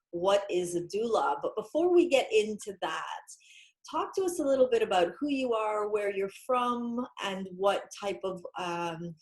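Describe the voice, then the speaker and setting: A woman's voice: medium pitch, darkish tone. A woman hosting an online career interview, welcoming guests with upbeat, partly scripted introductions. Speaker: interview host. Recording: echoey room.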